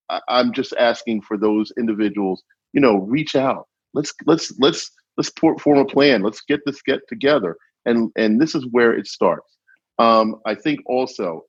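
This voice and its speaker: A man speaking as a guest on a podcast panel, enthusiastic and loud, often restarting his sentences. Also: on the low side, slightly rough, dry recording, muffled recording. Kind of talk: panel discussion.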